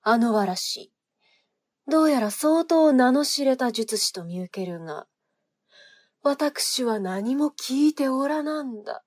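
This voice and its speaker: A woman's voice: sits high, expressive, takes it slowly, a little mellow, no echo, studio quality, exaggerated voice. An actress playing a sinister, seductive woman agent in a period audio drama, taunting in courtly archaic phrasing. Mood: cold reproach. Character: sinister seductive noblewoman agent, archaic speech, mocking, menacing.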